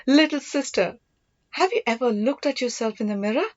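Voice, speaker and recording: A woman's voice: sits high, lively intonation, normal speed, dull audio, voice pushed up. A woman voicing a devoted, earnest young prince and older brother in an audiobook, reassuring and teasing. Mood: teasing, resolute.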